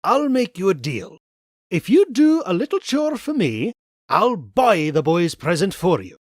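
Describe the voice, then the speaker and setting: A man's voice: high voice, very animated, measured pace, no room sound, clean studio sound, full character voice. A man voicing a cranky, miserly old male duck tycoon in a cartoon comic dub, given to indignant exclaiming. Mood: shrewd. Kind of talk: comic book fan dub.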